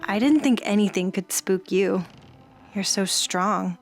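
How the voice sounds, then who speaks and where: A woman playing an earnest, gentle, admiring companion in a radio drama, with an eager manner. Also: mid-range voice, measured pace, faint music behind, natural voice.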